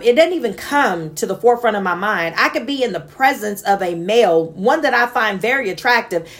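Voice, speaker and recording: Passionate high-voiced woman. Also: a bit bright. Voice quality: naturally high-pitched voice. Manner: passionate, earnest, laughs between points. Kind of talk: reflective personal monologue.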